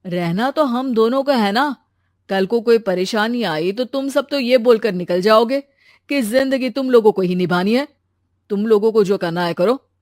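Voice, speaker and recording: A woman's voice: a little high, normal speed, dry recording, clean studio sound, lightly acted. Young groom, voiced by a woman. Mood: earnest. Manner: calm, persuasive. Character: reasonable young man, the groom. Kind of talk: cartoon voice acting.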